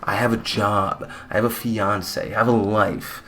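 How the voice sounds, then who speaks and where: A man's voice: lowish voice, level tone, a little slow, fairly bright tone. A young man from Mexico giving a blunt, rambling, opinionated fight recap with casual swearing. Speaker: combat sports YouTuber.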